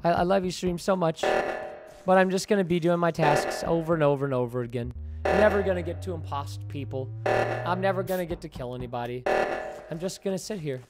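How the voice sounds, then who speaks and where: A man hosting a live game stream with friends, talking over play in a playfully dramatic, excitable way. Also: fairly high pitch, fairly quick, loud music behind the voice. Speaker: gaming streamer host. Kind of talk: live gameplay commentary with friends.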